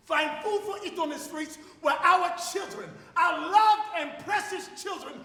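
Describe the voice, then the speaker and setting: A man performing a theatrical stage monologue as an impassioned South African schoolteacher of fifty-seven, declamatory, with dramatic pauses. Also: very high voice, vocal fry, pushed and bright, heavily acted. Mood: impassioned, urgent. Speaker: drama student actor. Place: large hall stage at commencement ceremony. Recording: echoey auditorium, distant, some noise.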